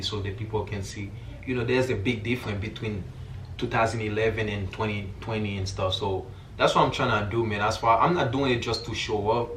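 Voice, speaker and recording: A man's voice: on the low side, a bit bright. A young man from Haiti with a Haitian accent, talking casually and earnestly to viewers in informal, slangy speech. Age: young adult. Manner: informal, slangy, repeats filler phrases like "you feel me". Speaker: vlogger. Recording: roomy echo, distant mic, faint noise.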